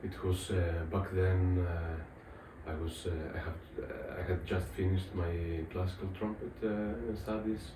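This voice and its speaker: A man's voice: low-pitched, flat delivery, measured pace, hardly any pauses. A man in his thirties with a Greek accent, answering interview questions casually and searching for his words in English. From Thessaloniki, Greece. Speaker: interviewee, musician. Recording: distant, echoey, clearly noisy room.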